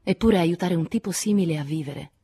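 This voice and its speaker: A troubled, disillusioned man read by a female audiobook narrator. The voice is low and husky, grave and restrained. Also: fairly quick, dark tone. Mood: troubled, resigned. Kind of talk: audiobook character reading.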